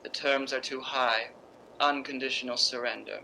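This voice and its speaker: A woman's voice: a bass voice, fairly even intonation, normal speed, creaky, pushed and bright, exaggerated voice, poor audio. A woman playing a proud, volatile stage actress with a biting, sarcastic theatrical delivery in a radio drama. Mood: bitter, wounded. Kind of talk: radio drama acting in 1950s style.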